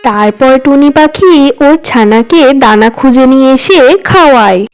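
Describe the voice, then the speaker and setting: A woman's voice: sits high, animated, normal speed, clear, resonant tone. A woman narrating a scripted children's story.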